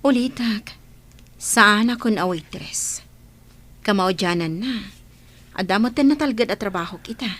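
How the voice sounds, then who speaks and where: A woman playing a wistful, respectful young single mother in a radio drama, with soft, heartfelt delivery. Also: medium pitch, measured pace, long pauses. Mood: earnest.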